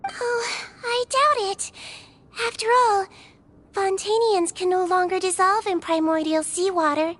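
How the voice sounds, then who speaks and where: A woman voicing a small, kindly nonhuman head nurse who speaks calmly and clinically in a video game. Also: very high voice, unhurried. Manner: gentle and composed, clinical.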